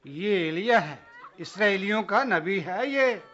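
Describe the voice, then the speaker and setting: An actor playing a blunt, sceptical village man in a religious drama, speaking in a brusque, forceful way. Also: sits high, expressive, slow, muffled recording, some chatter behind, heavily acted.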